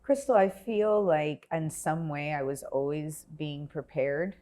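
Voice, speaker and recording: Forties woman from miami, low voice, thinks out loud, um-ing and restarting. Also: a little slow. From Miami, Florida. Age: 40s. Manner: reflective, searching for words with fillers and restarts. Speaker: guest, healer and yoga instructor. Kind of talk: casual interview conversation.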